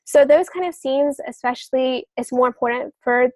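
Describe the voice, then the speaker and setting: A woman explaining things as a podcast guest, working through points with examples and pausing to rephrase as she goes. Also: high-pitched, a little mellow, dry recording. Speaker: podcast guest novelist giving writing advice.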